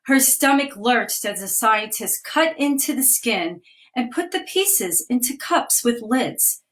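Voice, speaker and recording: A woman with a slightly raised voice reads a children's picture book aloud over a live video stream. She paces each line gently and clearly, stopping now and then to point out what the pictures show. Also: a little high, animated, slow pace. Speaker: children's author reading aloud. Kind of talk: storybook reading aloud. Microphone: phone or webcam on a live video stream.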